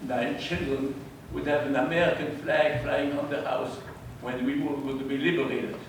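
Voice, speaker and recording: A man's voice: medium pitch, fairly even intonation, normal speed. An older man from Belgium, with a Dutch-French Belgian accent, telling his wartime eyewitness story to an audience with emphatic, wry humor. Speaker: eyewitness speaker. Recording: distant mic, echoey room, audible noise.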